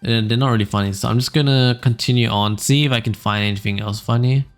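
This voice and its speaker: A young man hosting a casual podcast, reading funny stories aloud in a smooth low voice, rambling with fillers and small laughs. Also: fast talker. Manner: relaxed, rambling, frequent fillers and little laughs. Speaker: podcast host. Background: faint background music.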